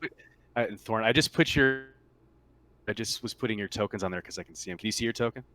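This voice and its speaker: A man speaking casually and haltingly as game master of an online tabletop roleplaying session. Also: on the low side, sharp tone.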